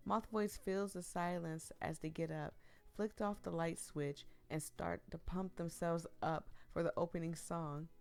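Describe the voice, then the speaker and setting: A woman's voice: lowish voice, steady tone, measured pace, gravelly, clean tone, faint music behind. A young woman getting over the flu and losing her voice, reading a visual novel aloud and breaking off for giggly, rambling asides to her viewers. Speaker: streamer playing a visual novel.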